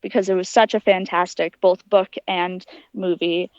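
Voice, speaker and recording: A woman's voice: lowish voice, fairly even intonation, moderate pace, darkish tone, no room sound, lo-fi sound. A young adult woman giving conversational, explanatory answers as a guest in an interview. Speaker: interview guest, aspiring astronaut.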